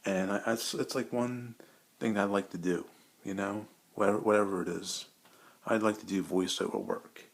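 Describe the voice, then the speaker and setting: Male hobby vlogger, fairly low voice, informal and keen, circles back over his words haltingly. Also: steady tone, gravelly. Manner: hesitant, repetitive, enthusiastic fan talk. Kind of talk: casual rambling chat.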